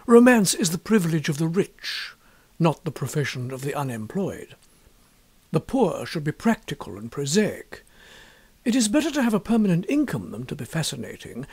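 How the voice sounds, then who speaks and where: A man reading a literary story as an audiobook narrator, in an expressive scripted style. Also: on the high side, moderate pace, slightly rough.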